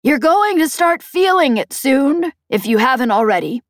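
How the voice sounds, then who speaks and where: A woman's voice: sits high, very animated, normal speed, no echo, studio quality, voice pushed up. A woman voicing a tough, commanding pirate boss in an audiobook, pressing and persuasive as she taunts. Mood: taunting, pressing. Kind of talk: audiobook character dialogue.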